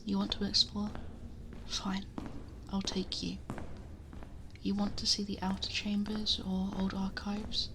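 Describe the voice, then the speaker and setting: A woman playing a possessive, protective dragon girl in an intimate ASMR roleplay, speaking softly and breathily, often whispering, close to the microphone in a measured murmur. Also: medium pitch, monotone, background noise, lightly acted. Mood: possessive, firm. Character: possessive female dragon girl, protective, commanding yet tender. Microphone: close binaural ASMR microphone.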